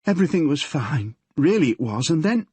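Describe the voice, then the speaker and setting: Audiobook figure: male narrator voicing a scared teenage girl, pitched high, breathless, husky. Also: big pitch swings, a little fast, darkish tone, no room sound, heavily acted. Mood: anxious. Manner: shaky, pleading, breathless. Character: frightened tearful teenage girl, a policeman's daughter.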